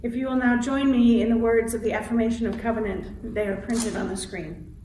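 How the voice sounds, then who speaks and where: A woman reading a scripted church welcome and liturgy in a measured, solemn way as worship leader. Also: fairly high pitch, steady tone, dark tone. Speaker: congregation president and guest worship leader. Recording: roomy, distant mic with faint noise.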